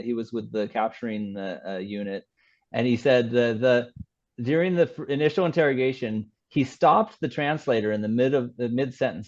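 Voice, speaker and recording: A man speaking as an interview guest, conversational and anecdotal, often halting and repeating words as he explains. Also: medium pitch, a little mellow, lo-fi sound.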